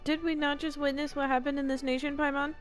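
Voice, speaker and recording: A woman's voice: high voice, level tone, quick pace. A woman streaming a game with casual, playful, jokey reactions. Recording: game music behind the voice. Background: game music.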